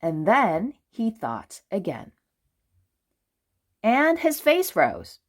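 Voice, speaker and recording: A woman reading a children's storybook aloud in an expressive, deliberate way with dramatic phrasing. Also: medium pitch. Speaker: children's book reader.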